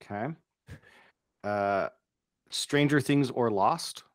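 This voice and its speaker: A middle-aged man hosting a casual interview over a video call, upbeat with quick reactions, speaking into a studio mic. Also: mid-range voice, dry recording. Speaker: interview host. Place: home studio. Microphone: boom studio microphone with headphones.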